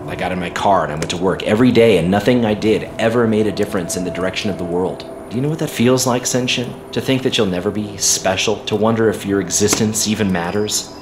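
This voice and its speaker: A man acts out a battered covert agent in an audio drama, earnest and defiant. His low voice is deliberate and intense, proud of his duty. Clear noise runs behind him. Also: lightly acted. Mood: brooding, earnest. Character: wounded, defiant male covert intelligence agent, earnest and patriotic.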